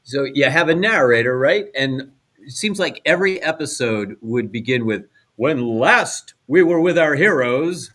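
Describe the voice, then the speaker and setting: A man's voice: medium pitch, lively intonation, measured pace, fairly bright tone. A man on a podcast panel, chatting casually and enthusiastically with illustrative detail. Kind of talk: casual chat.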